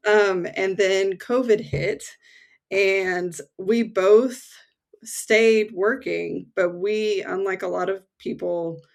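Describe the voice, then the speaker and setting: A woman's voice: mid-range voice, takes it slowly, gravelly. A woman from the United States, in her thirties, talking casually as a podcast guest over a remote call, with many fillers and light laughs. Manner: relaxed, frequent fillers and soft laughs. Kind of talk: casual conversation. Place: home in England.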